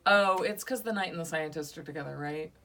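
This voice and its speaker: A woman's voice: low voice, fairly bright tone, far from the mic. A young woman co-hosting a podcast, chatting playfully and teasing her co-host. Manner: playful, teasing, quick to laugh. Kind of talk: casual podcast review chat.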